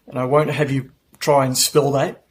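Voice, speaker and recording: A man in his forties with an Australian accent, answering interview questions in a relaxed, measured way. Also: mid-range voice, slight background noise. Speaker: podcast guest.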